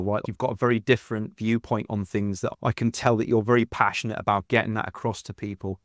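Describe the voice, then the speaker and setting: A man's voice: lowish voice, no room sound, clean studio sound. A man in his thirties hosting a podcast, asking questions in an enthusiastic, complimentary way. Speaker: podcast host. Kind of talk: podcast interview.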